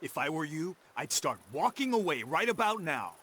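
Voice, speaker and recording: A man's voice: fairly high pitch, very animated, normal speed, fairly bright tone, breathy edge, exaggerated voice. An actor playing a principled, defiant young American frontier man in a game cutscene, firm and earnest. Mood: stern, disappointed. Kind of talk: video game cutscene acting.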